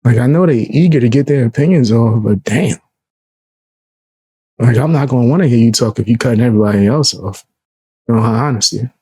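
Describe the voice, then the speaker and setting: A man with a low voice, commenting casually and with slang on a live stream, laid-back with chuckling asides. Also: fairly quick, pauses often, warm tone, slightly rough, no echo.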